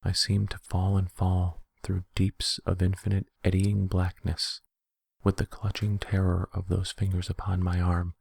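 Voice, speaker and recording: A man reading a horror story in a soft-spoken ASMR style, slow, deliberate and hushed. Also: deep voice, monotone, gravelly, slightly rough, no echo.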